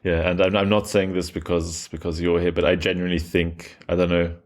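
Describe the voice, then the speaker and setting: A man's voice: deep voice, level tone. A man from Germany hosting a podcast interview, speaking casually and correcting himself as he goes. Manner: rapid, hesitant, self-correcting. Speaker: podcast host.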